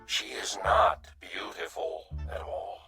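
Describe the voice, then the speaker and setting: A wise, gentle alien visitor in a radio drama. The voice is gravelly and sharply bright, picking through formal sentences slowly and deliberately. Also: male voice, low-pitched, fairly even intonation, whispered, faint music behind, full character voice. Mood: solemn, sincere. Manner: formal, measured, deliberate phrasing. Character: gentle, wise alien stranded among humans.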